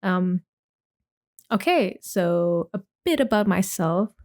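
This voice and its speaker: A woman's voice: medium pitch, animated, slow pace, darkish tone. Casting: young woman from Indonesia, podcast host, chatty and rambling, casual solo talk. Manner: chatty, rambling, with many likes and restarts.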